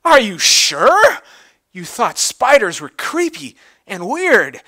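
An earnest father spider from a storybook acted out by a man. He speaks emphatically, with a bright edge and pitch that moves around freely. He is devoted and means every word. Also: huge pitch swings, slow, no room sound, heavily acted. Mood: concerned.

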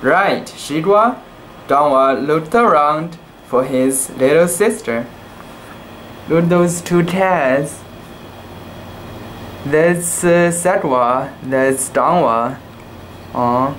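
A young man reading a children's book aloud in a casual, halting way, with cooing asides about the pictures. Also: fairly high pitch, a bit bright, slight background noise, low-quality recording. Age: young adult.

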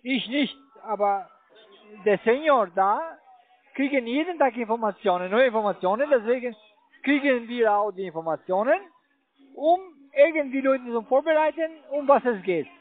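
A man with a foreign accent in non-native German, speaking haltingly as a telephone salesman making a pitch over a phone line. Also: lively intonation. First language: not German. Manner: halting, fumbling, hesitant. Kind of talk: cold sales call. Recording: tinny, band-limited phone line.

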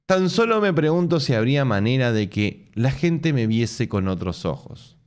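A man's voice: medium pitch, big pitch swings, normal speed, a little mellow, clear, resonant tone. A man in his thirties with an Argentine accent, reading a post aloud on a home stream and breaking off for sarcastic, laughing asides. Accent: Argentine Spanish. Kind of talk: casual live-stream reading with mocking asides. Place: home room with bookshelves.

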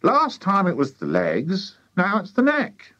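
Man putting on a high, nagging wife. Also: huge pitch swings, measured pace, bright, forceful tone, lo-fi sound, heavily acted. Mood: scornful, nagging. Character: sharp, scornful, nagging farmer's wife. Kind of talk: children's audiobook character voice.

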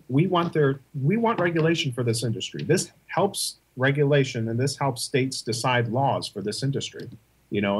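A man's voice: medium pitch, husky, poor audio. A man explaining his company's purpose as an interview guest, earnest and repeating key words. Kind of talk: interview answers.

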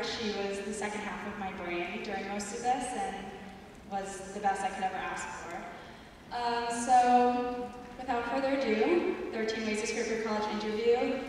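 A young woman, a student director, giving an earnest, grateful thank-you speech on a school stage into a handheld mic. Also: medium pitch. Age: young adult. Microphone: handheld mic, filmed from the audience. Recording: echoey hall from far off with faint noise.

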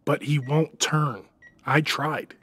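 Man playing a husky, deferential team leader. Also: a little high, slow pace, a bit bright, no room sound, exaggerated voice. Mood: uneasy. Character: anxious, deferential male team leader reporting upward. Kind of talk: audio drama acting.

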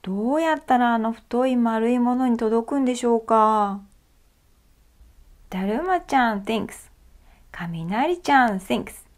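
A woman reading a picture book aloud to children, gentle and clear in her storytelling. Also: on the high side. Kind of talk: bilingual storybook read-aloud. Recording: faint music behind.

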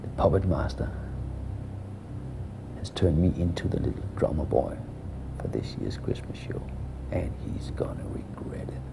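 A man telling a first-person story in a hushed, breathy whisper. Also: low voice, big pitch swings, a little slow, pauses often.

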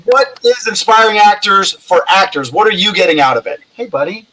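A man's voice: sits high, animated, brisk, noisy background. A man hosting a casual video call chat, upbeat and enthusiastic. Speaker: host.

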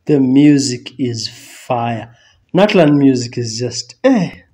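A man's voice: mid-range voice, lively intonation, slow, mellow, soft background music. A man in his thirties with a Kenyan accent, chatting casually as a reaction host, easygoing and chuckling. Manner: easygoing, gestures a lot, chuckles. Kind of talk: casual chat. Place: small indoor room. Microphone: headphones and desk mic.

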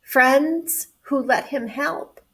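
A small, tender-hearted storybook squirrel played by a woman reader, high and squeaky. The little creature speaks earnestly, as in a picture book read to children. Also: drawn-out words, bright, forceful tone, heavily acted. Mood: wistful.

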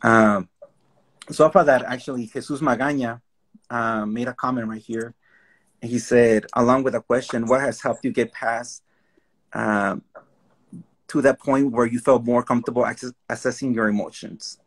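A man hosting a casual panel discussion over a video call, telling stories in a relaxed, conversational way. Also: medium pitch, normal speed, slightly rough. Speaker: panel host. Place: room at home, indoors.

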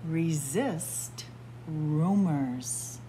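Librarian lady doing storytime, slow and sing-songy, close webcam mic, faint hum behind. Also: deep voice. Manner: slow, deliberate word-by-word reading with lively teacherly emphasis. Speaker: children's librarian reading aloud. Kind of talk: picture-book read-aloud for kids. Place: small public library room with bookshelves. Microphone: webcam or phone close up at arm's length.

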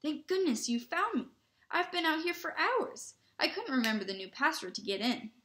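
A woman's voice: a little high, expressive, fast talker, a bit bright. A woman voicing a timid, anxious young schoolboy who pleads with his friends in a storybook reading. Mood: relieved, anxious.

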